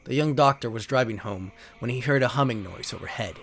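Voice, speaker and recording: A man with a medium-pitched voice reads pulp sci-fi tales as a narrator. His delivery is theatrical and solemn, like a serious storyteller. There is some faint noise and ambience behind him. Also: a little fast, a bit bright. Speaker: narrator and host. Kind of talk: dramatic reading of pulp sci-fi card stories. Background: sound effects and ambience.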